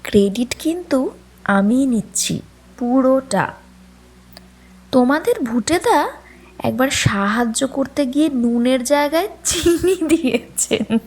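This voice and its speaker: A teasing middle-aged hostess in an audio story, high swoopy voice, bit husky. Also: female voice, lively intonation, slow pace, pauses often, a little mellow, full character voice. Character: middle-aged Bengali housewife, teasing, hospitable sister-in-law.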